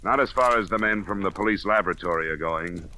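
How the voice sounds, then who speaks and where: An actor playing a cool, dogged insurance investigator in an old-time radio drama, clipped, dry and matter-of-fact. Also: male voice, deep voice, quick pace, sharp tone. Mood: accusing. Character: insurance investigator, cool, dogged, hard-boiled male detective. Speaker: lead character and narrator. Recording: noisy vintage radio drama recording.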